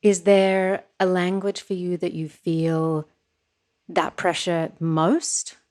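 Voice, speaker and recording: A woman hosting a podcast interview, asking measured, admiring questions. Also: lowish voice, flat delivery, darkish tone. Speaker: podcast host.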